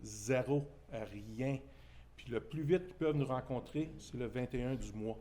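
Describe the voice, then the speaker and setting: A man's voice: medium pitch, measured pace, dark tone, slight background noise. A man with a Québécois French accent from Témiscamingue, speaking at a press conference, earnest and indignant about layoffs. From Témiscamingue, Quebec.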